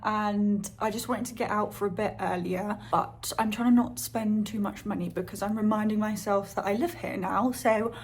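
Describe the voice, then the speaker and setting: A young woman vlogging to her phone on a tripod by a window in her flat, chatting casually and rambling with lots of fillers. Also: mid-range voice, moderate pace, hardly any pauses, slight background noise. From Spain. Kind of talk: casual vlog monologue to camera. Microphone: phone on a little tripod.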